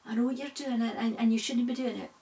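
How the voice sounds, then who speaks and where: A woman's voice: a little high, steady tone, quick pace, warm tone, far from the mic, natural voice. A woman voicing a sly male barn spider with a Scottish accent, wheedling and insistent, repeating himself. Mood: coaxing.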